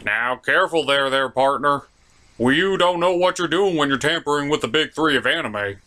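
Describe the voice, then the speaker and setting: A man voicing a fretful, excitable puppet sidekick in a melodramatic, incredulous manner for a comedy show. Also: fairly high pitch, normal speed. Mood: worried, warning.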